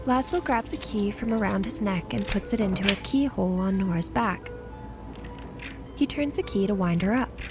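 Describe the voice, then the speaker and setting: A woman's voice: mid-range voice, moderate pace. A woman reading scripted story narration for an audio drama as the narrator. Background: music.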